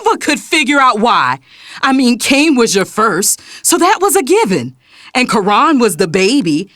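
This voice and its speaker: Resentful daughter, animated and taunting. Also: female voice, on the high side, moderate pace, dry recording, studio quality. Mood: wounded, sarcastic. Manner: sarcastic, taunting. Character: resentful, defiant adult daughter, cutting and wounded. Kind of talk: dramatic audio drama acting.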